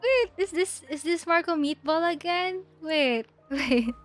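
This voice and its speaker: A woman's voice: very high voice, unhurried. A woman livestreamer giving casual game commentary, thinking aloud and often repeating her words. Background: faint game music.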